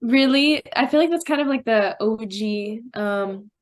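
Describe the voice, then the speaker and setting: A woman's voice: on the high side, measured pace. Giggly teenage girl from Brazil. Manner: bubbly, giggly, hesitant with fillers. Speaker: guest actress. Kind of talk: casual interview answers.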